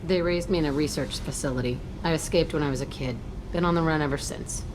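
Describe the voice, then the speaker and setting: A woman's voice: sits low, quick pace, background noise, natural voice. A woman playing a tough, cynical fugitive criminal in a video game, speaking in blunt, clipped phrases. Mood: guarded.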